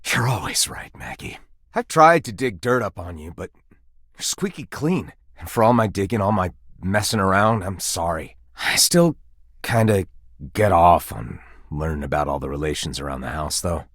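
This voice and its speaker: An actor playing a humbled, ordinary knockoff candelabra in a video game, plain-spoken and sheepish. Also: male voice, deep voice, normal speed, fairly bright tone, no room sound, clean studio sound. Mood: sheepish, resigned. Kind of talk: scripted video game character acting.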